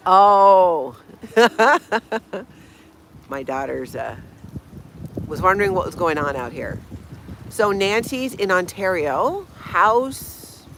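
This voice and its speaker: A woman's voice: medium pitch, a bit bright, slight background noise. A woman in her fifties from Hawaii, hosting a casual outdoor live stream, chatting and laughing as she reads viewer comments. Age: 50s. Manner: chatty, laughs often, greets viewers by name. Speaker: channel host. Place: snowy backyard outdoors in Minnesota.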